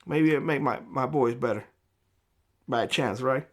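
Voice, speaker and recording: A man speaking straight to camera in a vlog, giving blunt, lecturing advice in a hoarse voice. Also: mid-range voice, moderate pace. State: hoarse, losing his voice. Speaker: prepper YouTuber. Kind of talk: direct-to-camera vlog monologue. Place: homestead.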